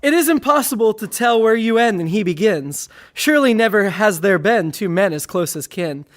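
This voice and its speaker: A man's voice: high voice, lively intonation, moderate pace, fairly bright tone. A man performs comic poetry with mock grandeur. He declaims each rhyming line as satire, reading it out in the formal style of an ode. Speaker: comedy poet and performer.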